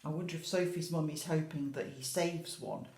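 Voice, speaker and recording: A woman teacher reading a picture book to young children in an expressive way, adding chatty asides and questions. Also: sits low, normal speed, roomy sound. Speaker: infant school teacher.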